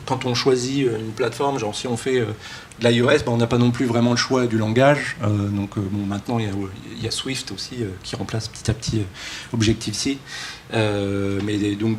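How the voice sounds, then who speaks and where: A man speaks informally as a conference keynote speaker, joking and halting with many false starts. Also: medium pitch. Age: early 40s. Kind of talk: casual, joking conference talk. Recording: live talk with background room noise.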